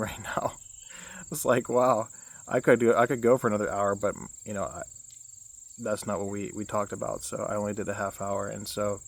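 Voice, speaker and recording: A young man with a low baritone voice talks through a casual self-help vlog. His delivery stays fairly flat and rambles, full of restarts and fillers. Crickets and insects chirp around him outdoors. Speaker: vlogger.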